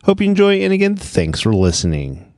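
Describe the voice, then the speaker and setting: A man's voice: high voice, huge pitch swings, a little fast, a little mellow, no room sound, clean studio sound. A man reading a scripted episode introduction as a show host. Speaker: podcast host.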